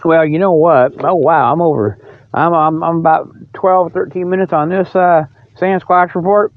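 A man's voice: a little high, fairly even intonation, moderate pace, no room sound. An older American man hosting a podcast in rambling, casual solo chat, with a folksy, jokey way of talking. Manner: folksy, jokey, often chuckles. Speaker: podcast host. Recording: dull lo-fi audio.

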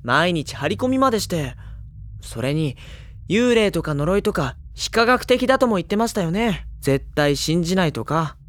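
An actor playing a level-headed, politely exasperated teenage schoolboy in an audio drama, both in dialogue and introspective narration. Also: male voice, sits high, sing-song, moderate pace. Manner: polite speech to his senior, dry retorts. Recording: loud background music under the voice. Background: music.

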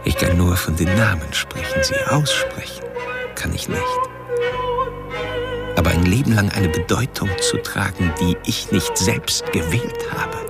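An actor playing an eloquent serial killer in a radio drama monologue, musing and ironic. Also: male voice, mid-range voice, huge pitch swings, a little slow. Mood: brooding. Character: male serial killer, brooding, eloquent and mockingly amused. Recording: loud music behind the voice.

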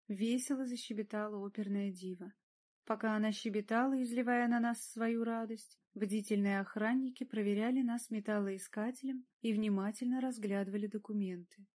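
A woman reading first-person fiction as an audiobook narrator, with expressive storytelling. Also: medium pitch.

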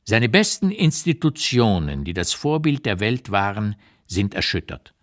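An old émigré writer reflecting bluntly on his life in a radio play. The voice sits fairly low and moves through very lively rises and falls. Each phrase is deliberate and emphatic, measured like literary reading. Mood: brooding, astonished.

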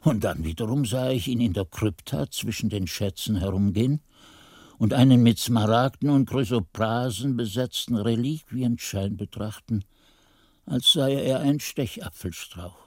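An older male actor playing an aged monk who narrates memories of his youth in a measured, literary radio play style. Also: mid-range voice, no echo, studio quality. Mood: reflective. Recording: 1980s radio play with faint music.

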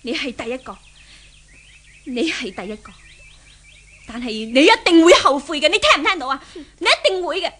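A woman's voice: high-pitched, animated, normal speed, long pauses, fairly bright tone. An actress playing an arrogant, domineering young rich woman in a 1970s radio drama, with a Hong Kong Cantonese accent and a sneering, imperious manner. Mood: threatening.

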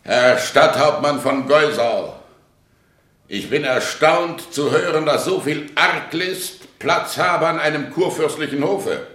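An actor in a German radio play as a proud, decisive prince-elector, imperious and formal. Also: male voice, on the high side, slow pace, fairly bright tone. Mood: haughty. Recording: echoey room.

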